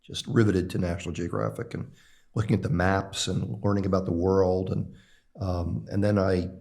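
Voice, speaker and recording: A man's voice: low-pitched, fairly even intonation, moderate pace, creaky, a little mellow. An older man from the Philadelphia area, a national security expert, reminiscing as an interview guest with frequent ums and uhs. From Philadelphia area, Pennsylvania. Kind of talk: relaxed conversational interview.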